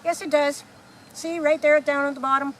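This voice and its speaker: Woman as unflappable alien traveller, high voice, matter-of-fact, casual, radio comedy, noisy background. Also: fairly even intonation, moderate pace, a bit bright. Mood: breezy. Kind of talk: amateur radio play comedy acting.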